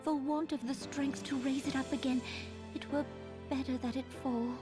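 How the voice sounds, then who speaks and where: A woman plays a sorrowful young queen from a fantasy game, with a very high, hushed voice. She is solemn and penitent, with music clearly behind her. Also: fairly even intonation, moderate pace, natural voice.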